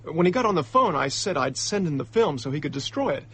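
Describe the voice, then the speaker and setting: A young male diplomat in a radio drama, indignant and hot-headed. His voice sits fairly high with a lively swing and a touch of husk. He talks in excitable slang. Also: fast talker, husky, exaggerated voice. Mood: agitated recounting.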